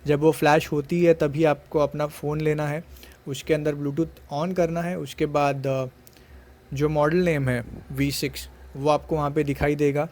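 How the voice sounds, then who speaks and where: A man's voice: on the high side, little pitch movement, a little slow, darkish tone. A man narrating a product unboxing and review, explaining things step by step and mixing in English words.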